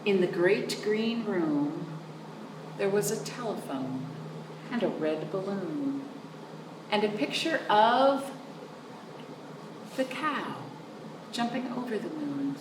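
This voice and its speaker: A woman hosting storytime for young children, reading aloud in an animated sing-song way with chatty asides to her listeners. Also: mid-range voice, slow, pauses often. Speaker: children's storytime host at a library. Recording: roomy, distant microphone with noticeable background noise.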